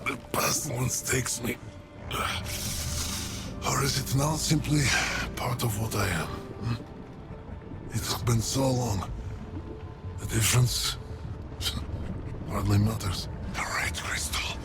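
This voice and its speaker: A man playing a weary, ancient nature guardian creature in a video game, speaking gravely and haltingly in a gravelly voice. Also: medium pitch. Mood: weary, musing. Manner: grave, halting, archaic phrasing. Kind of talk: video game cutscene acting. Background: loud music.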